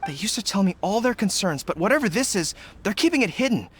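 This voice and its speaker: An actor playing an earnest, dutiful young army general in a video game, speaking in a formal, respectful manner. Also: male voice, high voice, very animated, fast talker, a bit bright, husky, full character voice. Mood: concerned, dutiful. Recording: game music underneath.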